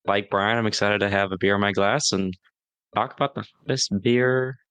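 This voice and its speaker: A man co-hosting a beer podcast, giving brief, low-key replies in casual chat. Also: moderate pace, a bit bright, clean tone, dry recording. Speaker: podcast co-host.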